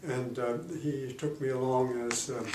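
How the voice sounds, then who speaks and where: A man in his eighties, a retired diplomat, recalling his career in an oral history interview, pausing often with fillers. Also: mid-range voice, flat delivery, darkish tone. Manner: halting, many pauses and fillers. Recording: roomy, distant mic, faint noise.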